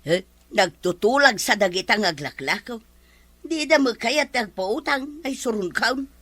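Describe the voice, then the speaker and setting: A woman plays a middle-aged Ilocano villager who is always out to borrow. Her voice is sharp and forceful, close and dry. She wheedles and complains in village comedy style. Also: on the high side, expressive, unhurried, dry recording. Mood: scheming. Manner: complaining, pushy. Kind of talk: Ilocano comedy drama acting.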